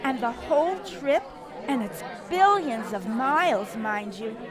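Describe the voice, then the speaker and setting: A woman in amateur radio theater playing an excited, gushing passenger waiting to depart. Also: high voice, unhurried. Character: excited, chatty female passenger awaiting departure. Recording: busy crowd hubbub behind.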